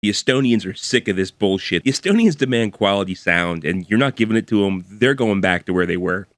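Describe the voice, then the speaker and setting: A man's voice: on the low side, lively intonation, a little fast, a bit bright, no echo. A man in his forties co-hosting a podcast from home, teasing his partner in a wry, loose way and swearing freely. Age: 40s. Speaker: podcast co-host. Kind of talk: casual chat. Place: home over an internet call.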